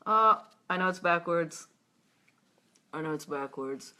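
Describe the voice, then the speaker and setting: Playful, teasing woman, low-pitched with a bright edge, casual live-stream host. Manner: playful, teasing, quick asides; slow breathy whisper when demonstrating a seductive voice. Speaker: sex educator hosting a live video chat. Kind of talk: casual chat. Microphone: webcam or laptop mic on a live stream.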